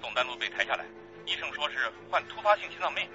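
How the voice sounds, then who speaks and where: 对讲机里急促紧张的侦查员. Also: male voice, mid-range voice, very animated, fast, pushed and bright, dull audio, soft background music. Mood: alert. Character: tense young male plainclothes officer reporting on stakeout. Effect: police radio. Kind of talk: radio drama acting.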